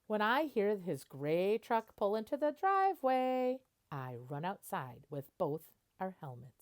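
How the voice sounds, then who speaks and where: A woman reading a children's picture book aloud like a teacher, expressively, pausing to explain words simply. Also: medium pitch, sing-song.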